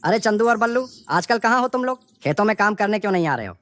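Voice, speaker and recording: Lady doing a cartoon farm boss, fast talking, naggy and demanding. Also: mid-range voice, animated, natural voice. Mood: reproachful. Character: nagging farm labour contractor.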